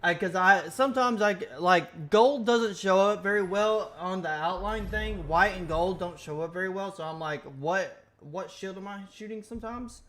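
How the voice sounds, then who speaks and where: A man's voice: high-pitched, moderate pace, hardly any pauses, a bit bright. A young man streaming a game, chatting casually and rambling in half-finished, self-correcting thoughts. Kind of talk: casual gaming stream chat.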